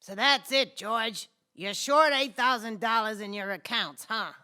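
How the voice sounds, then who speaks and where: A man's voice: sits high, bright, forceful tone, clear, resonant tone, heavily acted. A man playing a cold, sneering, contemptuous old banker in an old-time radio drama. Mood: coldly probing. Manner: taunting, deliberate, contemptuous.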